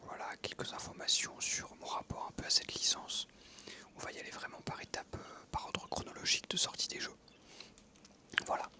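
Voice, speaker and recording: A young man from France in his twenties, telling relaxed stories in a soft, unvoiced ASMR whisper while he plays a game, with many pauses and fillers. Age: 20s. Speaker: ASMR creator. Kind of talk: whispered ASMR storytelling over gameplay.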